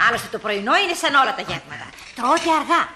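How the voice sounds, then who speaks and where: An actress playing a strict, brisk small-town mother in a radio play. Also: fairly high pitch, very animated. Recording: noisy old radio drama recording.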